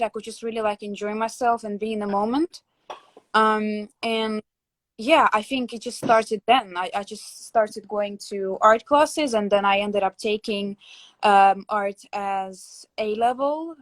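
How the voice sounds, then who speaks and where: A young woman from Latvia hosts a relaxed conversation over a video call, thinking aloud with frequent fillers and restarts. Her voice sits in a middle pitch and sounds dry and close, with no room echo. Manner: reflective, many fillers and restarts. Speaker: host. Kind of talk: casual interview chat.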